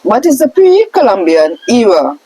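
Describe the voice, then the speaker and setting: Woman reading aloud, lively pitch swings. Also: normal speed, breathy edge, low-quality recording. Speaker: reader of a scroll text. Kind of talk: reading aloud a scripted question-and-answer lesson.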